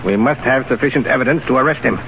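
An actor playing a cold, calculating Russian secret police official in a 1930s radio drama. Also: male voice, medium pitch, fast talker, lightly acted. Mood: cold, controlled. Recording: noisy, narrow-band 1930s radio broadcast.

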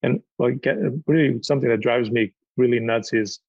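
A man with a gravelly, husky voice speaks with passion on a panel, often repeating himself as he finds his words. The sound is dry and dull. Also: mid-range voice, fairly even intonation, moderate pace, a little mellow, no echo. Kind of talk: panel discussion.

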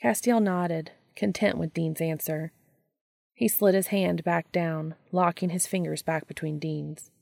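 A woman narrates a story in a low voice thick with vocal fry. The reading is scripted audiobook narration, captured close and dry. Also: fairly even intonation, unhurried, a little mellow, no room sound. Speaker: audiobook reader of fan fiction.

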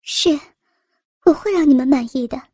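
A young woman begs fast and urgently, her voice pitched high, as a woman reader voices her. The tone stays mellow and the recording is dry and close. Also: no room sound, close to an everyday voice. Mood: urgent pleading. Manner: urgent, pleading. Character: young woman offering herself to save another.

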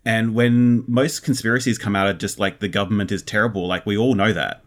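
Australian male interviewee, slightly low voice, explanatory, casual podcast chat. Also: steady tone, brisk. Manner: explanatory, conversational. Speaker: interviewed fiction podcast creator.

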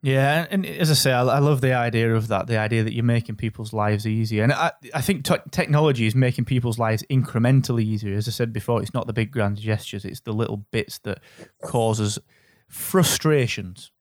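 A man's voice: medium pitch, fairly quick, dry recording. Upbeat male podcast host, dry sound. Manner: upbeat, encouraging interviewer. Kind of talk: podcast interview.

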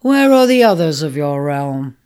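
A reader doing a gruff booming bull. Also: very animated, darkish tone. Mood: hesitant. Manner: booming, then halting and stammering. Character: gruff territorial male bull, grudgingly kind. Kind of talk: storybook character voice.

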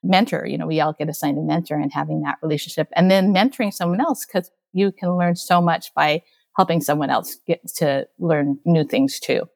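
Guest, woman from Okinawa: low, gravelly voice, earnest and conversational. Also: a little fast, darkish tone. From Okinawa, Japan. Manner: earnest, acts out quoted exchanges. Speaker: guest, school technology leader. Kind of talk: casual interview talk.

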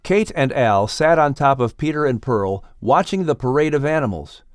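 A man's voice: mid-range voice, lively intonation, normal speed, clean tone, no echo, clean studio sound, faint music behind. A man announcing and narrating a scripted story podcast.

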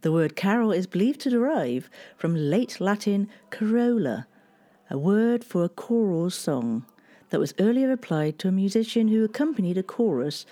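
A woman hosting a history podcast, telling past events in a measured, scripted storytelling delivery. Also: mid-range voice, animated, mellow.

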